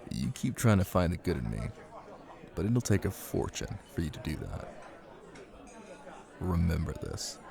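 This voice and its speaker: A man playing a possessive, menacing Italian mafia husband in an intimate ASMR roleplay, speaking in a commanding way with deliberate pauses. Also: sits low, very animated, gravelly, crowd noise, heavily acted. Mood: brooding.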